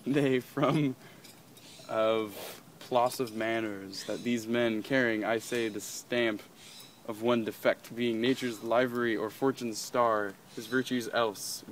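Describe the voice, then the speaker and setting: A man's voice: mid-range voice, close to an everyday voice. A man playing a brooding young prince in an amateur Shakespeare reading, declaiming and sometimes stumbling over the lines. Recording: some background noise.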